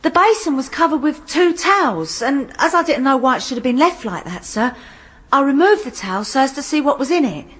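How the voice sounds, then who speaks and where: Deferential, high-voiced housemaid. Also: female voice, expressive, fairly quick, fairly bright tone. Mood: earnest, deferential. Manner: deferential, simple rustic grammar. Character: plain-spoken housemaid giving evidence, deferential. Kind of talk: radio courtroom drama acting.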